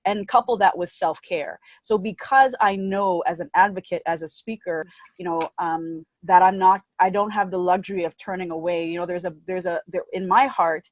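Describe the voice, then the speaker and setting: A woman advocate with a lowish voice speaks earnestly on a panel. She circles back on her words as she thinks. The sound is close and dry. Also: steady tone, moderate pace, dry recording, poor audio. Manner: earnest and reflective, repeats words. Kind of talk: panel discussion.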